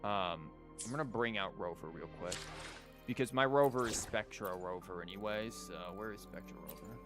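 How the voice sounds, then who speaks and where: A man's voice: fairly low pitch, moderate pace. A man streaming a game, thinking aloud and rambling as he explains things in casual live commentary, with game music behind him. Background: video game music and character lines.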